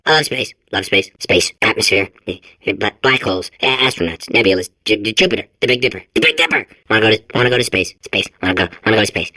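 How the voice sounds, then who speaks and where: Manic, bright-voiced space-obsessed robot. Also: male voice, on the low side, fast, few pauses, no echo. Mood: frantic excitement. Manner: frantic, stuttering, compulsively repeating words. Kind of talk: video game character acting.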